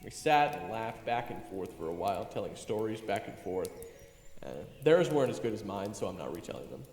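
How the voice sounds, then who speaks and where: A man's voice: on the low side, very animated, brisk, a little mellow. A man playing a goofy, boastful young human adventurer who tells his own tale in an audio drama, theatrical and joking. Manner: theatrical, joking, conversational storytelling. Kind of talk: first-person audio drama narration and dialogue. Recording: echoey, reverberant, music underneath. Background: music.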